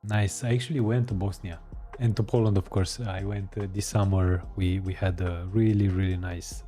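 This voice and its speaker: A man hosting a live stream, chatting casually with viewers, hesitant with many pauses and fillers. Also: low voice, fairly even intonation, warm tone. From Romania. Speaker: live-streaming coding host. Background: faint music.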